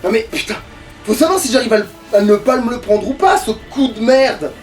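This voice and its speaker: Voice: medium pitch, lively intonation, fairly quick, a little mellow, recorded from a distance, faint music behind. A gamer giving casual, sweary gameplay commentary in an exasperated, grumbling manner. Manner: exasperated, foul-mouthed grumbling. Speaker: let's play gamer.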